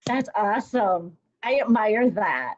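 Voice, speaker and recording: A woman's voice: medium pitch, lively intonation, slow, dull audio. A woman leading a video-call group discussion, encouraging people and asking lots of questions. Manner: encouraging, asks many questions. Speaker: workshop facilitator.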